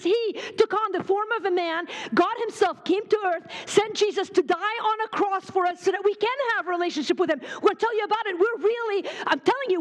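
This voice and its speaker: A woman in her forties from Lebanon, giving a fast, joking and fervent sermon at a women's retreat. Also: very high voice, big-room sound. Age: 40s. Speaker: retreat speaker.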